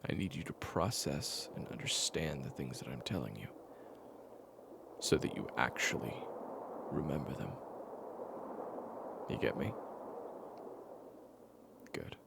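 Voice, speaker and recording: A man playing a weary, patient caretaker in an intimate audio roleplay, speaking in a hushed, hesitant way. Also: big pitch swings, measured pace, long pauses, heavy background noise, full character voice. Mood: patient, reassuring. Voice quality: very low gravelly voice. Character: weary, patient man caring for an amnesiac companion, retelling their past.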